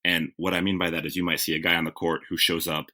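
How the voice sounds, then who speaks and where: A man's voice: low voice, flat delivery, fast talker, bright, forceful tone. A man being interviewed as a TV writer, telling stories in a reflective, self-correcting conversational way. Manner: reflective, self-correcting, with frequent "like" and "you know". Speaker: guest, TV writer.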